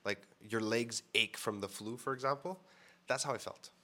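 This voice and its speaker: A man's voice: medium pitch, moderate pace, pushed and bright. A man telling a personal story to camera in a frank, casual way, full of fillers and swearing, sounding a bit congested. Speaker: vlogger. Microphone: mic on camera setup.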